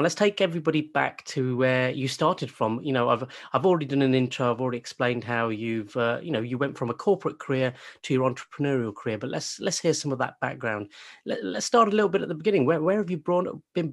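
A man hosting a podcast interview, talking in a chatty way and often restarting his phrases. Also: medium pitch. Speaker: podcast host.

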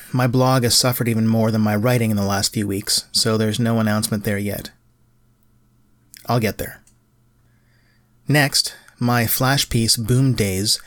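A middle-aged man, narrating a solo podcast in a measured, lightly self-deprecating way. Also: mid-range voice, dry recording. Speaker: podcast host, writer.

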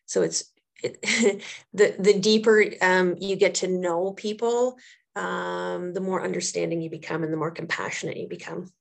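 A woman's voice: lowish voice, fairly even intonation, moderate pace, gravelly. A woman, thirties or older, answering as an interview guest and therapist, speaking earnestly and reflectively and repeating phrases for emphasis. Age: 30s or older. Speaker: trauma therapist and social worker, interview guest.

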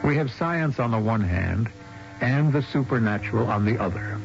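A male host narrates a 1970s radio mystery. His scripted delivery is measured and philosophical, with dramatic emphasis. The sound is muffled, with music clearly playing behind him. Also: medium pitch, expressive. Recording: dull old radio broadcast with music.

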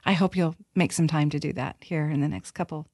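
A woman's voice: low voice, a little fast, dry recording, studio quality. Heartfelt middle-aged woman co-host. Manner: heartfelt, gently reflective. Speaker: podcast co-host. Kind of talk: casual chat.

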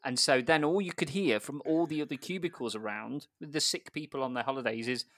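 A man's voice: medium pitch, brisk, fairly bright tone. A man telling an embarrassing personal story in casual chat, laughing at himself along the way. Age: adult. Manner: self-mocking, laughing often through the tale. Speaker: guest storyteller. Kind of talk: casual anecdote.

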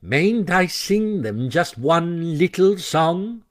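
A man's voice: sits high, takes it slowly, darkish tone, pitched above the performer's own voice. A man voicing a boastful, vain male toad who pleads and laments in grand, quavering tones. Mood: pleading.

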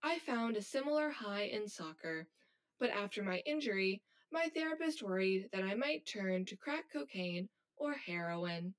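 A woman playing a cold, blunt high-achieving schoolgirl who talks in a stiff, formal, robotic way in an audio drama. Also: big pitch swings, normal speed, lightly acted. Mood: bitter, confessional. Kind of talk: scripted audio drama acting.

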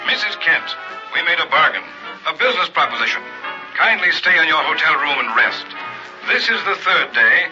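A man's voice: a little high, expressive, moderate pace, lightly acted. Actor as a slick, pompous carnival showman. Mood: impatient. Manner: grandiose barker patter, curt when challenged. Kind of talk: 1960s radio horror drama acting. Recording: old muffled radio recording with music.

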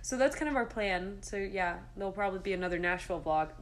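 A woman's voice: mid-range voice, quick pace. A woman in her twenties co-hosting a podcast in casual banter, with a giggly, jokey, self-mocking manner. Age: 20s. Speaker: podcast co-host. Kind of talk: casual banter between friends.

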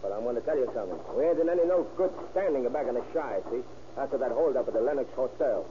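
An actor playing a bossy, tough gangster leader in an old radio drama, talking in gruff, slangy tough-guy speech. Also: mid-range voice, animated, quick pace, dull audio, close to an everyday voice. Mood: boastful. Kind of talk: 1930s radio acting. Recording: scratchy old radio recording with hiss.

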